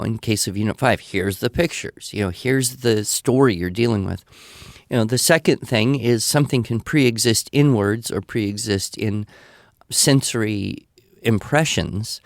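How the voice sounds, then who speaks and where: A man's voice: lowish voice, dry recording. Older man giving explanatory advice, dry close sound. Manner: didactic, thinking aloud with examples. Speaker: podcast guest expert answering listener questions.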